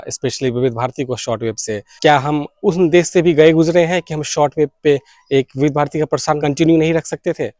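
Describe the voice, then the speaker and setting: A man's voice: medium pitch, darkish tone, no room sound, clean studio sound. An Indian man making an earnest campaign appeal as a video host, mixing English words into his speech. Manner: Hindi mixed with English words. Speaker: YouTube host and radio enthusiast.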